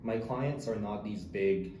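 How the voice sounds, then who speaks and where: A man from the Philippines, talking politely and haltingly as an interview guest. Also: lowish voice, warm tone. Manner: hesitant, polite, halting with repeated words. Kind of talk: casual interview talk. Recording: echoey room with clear background noise.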